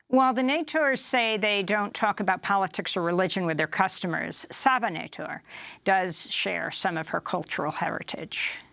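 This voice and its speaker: A woman's voice: mid-range voice, normal speed, vocal fry, fairly bright tone, faint music behind. A woman hosting a news broadcast, reading scripted narration and interviewing a guest.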